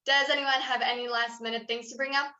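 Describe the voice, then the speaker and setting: A woman playing a formal, firm official who chairs a meeting in a staged reading, speaking in a measured, authoritative way. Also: on the high side, flat delivery, pushed and bright. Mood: brisk, official. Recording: roomy, dull lo-fi sound.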